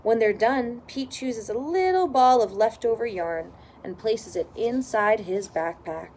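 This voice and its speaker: A woman reading a children's storybook aloud in expressive narration. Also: a little high, a little slow, a little hiss or hum. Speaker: storybook reader.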